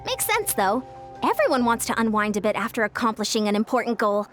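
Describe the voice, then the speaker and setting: A woman playing a playful, confident young female designer and coach in an anime game, explaining briskly and teasingly. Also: fairly high pitch, very animated, a bit bright. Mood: friendly. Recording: faint music behind the voice.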